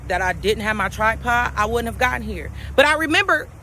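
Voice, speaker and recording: Woman vlogger, high and bright, loud and slangy, swearing, shot outdoors on camera audio with faint traffic behind. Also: fairly quick. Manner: animated, slangy, swears freely, talks straight to viewers. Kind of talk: casual motivational vlog. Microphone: camera without microphone.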